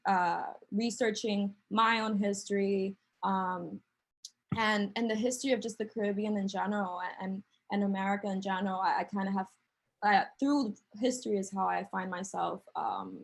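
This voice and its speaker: A woman's voice: mid-range voice, fairly even intonation, normal speed. A young woman on an artists' panel, speaking reflectively with frequent fillers. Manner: reflective, lots of likes and ums. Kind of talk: casual panel talk.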